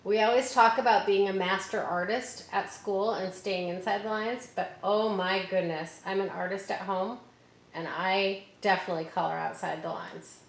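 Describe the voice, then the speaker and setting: A woman reads a picture book aloud to children like a teacher, with expressive emphasis and chatty, encouraging asides. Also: mid-range voice, vocal fry. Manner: expressive, drawn-out emphasis on key words; encouraging, explaining to young listeners. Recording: roomy echo with background noise.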